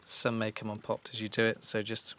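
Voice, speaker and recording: A man's voice: lowish voice, monotone, a little fast, very noisy. A man giving an informal, practical step-by-step demonstration in a reassuring, instructional way. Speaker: motorcycle shop staff giving a fitting tutorial.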